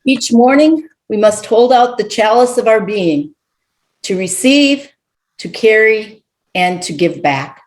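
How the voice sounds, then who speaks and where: A woman reading a poetic invocation as a worship speaker, measured and reverent, with deliberate pauses. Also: medium pitch, animated.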